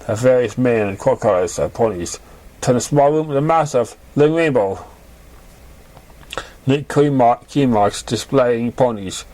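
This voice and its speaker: A man's voice: mid-range voice, expressive, moderate pace, a little mellow, rough recording. A man reading a story aloud as an amateur narrator.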